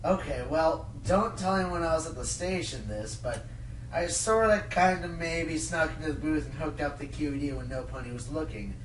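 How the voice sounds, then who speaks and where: A man playing a chummy, excitable pony radio crew member in a radio play, chatty and halting. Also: fairly high pitch, recorded from a distance, natural voice. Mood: giddy, confiding. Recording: roomy, off-mic, faint noise.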